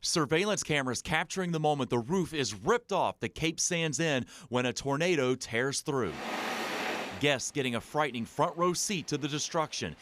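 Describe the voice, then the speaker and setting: A man's voice: fairly high pitch, animated, moderate pace, fairly bright tone. A man narrating a scripted TV news package as a reporter. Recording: clear background noise under voice-over.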